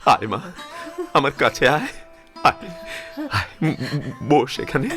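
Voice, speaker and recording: Man acting slow, impassioned elderly father-in-law. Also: mid-range voice, big pitch swings, fairly bright tone, soft background music, exaggerated voice. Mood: tender, emotional. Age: older. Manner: slow, pleading, repeating phrases with emotional pauses. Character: tender, grieving elderly father-in-law, resolute and protective. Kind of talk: emotional audio drama acting.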